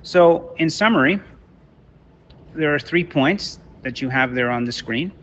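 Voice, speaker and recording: Bright-voiced French-Canadian man lecturing. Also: fairly high pitch, expressive, dull audio, a little hiss or hum. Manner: halting, many restarts and repeated words. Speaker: teacher. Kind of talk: online lecture to parents. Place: Toronto.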